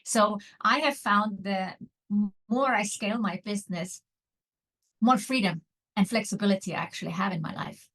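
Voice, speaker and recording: A woman, an online business mentor telling her story as a guest, earnest and pausing often with fillers. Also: mid-range voice, fairly bright tone. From Iceland. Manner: earnest, frequent filler pauses.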